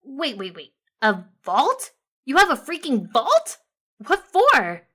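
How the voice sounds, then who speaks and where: A woman's voice: high-pitched, huge pitch swings, slow pace, a bit bright, pitched above the performer's own voice, heavily acted. A woman voicing a brash, impatient young female cartoon pegasus who talks bluntly and emphatically. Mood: incredulous.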